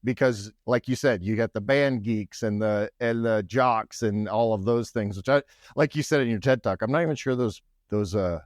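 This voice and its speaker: Loud, deep-voiced man hosting a podcast. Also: normal speed, hardly any pauses, no echo, clean studio sound. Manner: talkative, go-getter energy, frequent restarts. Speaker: podcast host. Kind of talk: casual podcast conversation.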